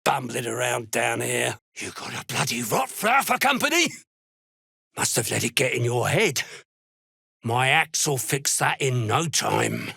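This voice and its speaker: A gruff dark dwarf slave hunter from a video game, with a gritty, forceful voice that sits a bit high. He is a curt, fanatical cultist who threatens and sneers in a slow, deliberate way. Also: expressive, no echo, clean studio sound, full character voice. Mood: menacing, contemptuous. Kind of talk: video game character voice lines.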